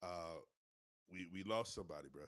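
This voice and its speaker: Guy with a stuffy nose, low voice, loudmouth cohost, dry close sound. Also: moderate pace, dry recording. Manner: loud, playful banter. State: stuffy, congested. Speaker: podcast co-host. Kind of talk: casual podcast chat.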